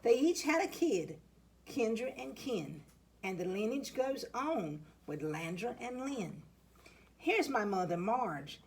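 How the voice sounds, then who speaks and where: Lady doing a storybook read-aloud for kids, slow n lively, bit creaky and husky. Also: medium pitch. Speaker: assistant principal reading a children's picture book aloud.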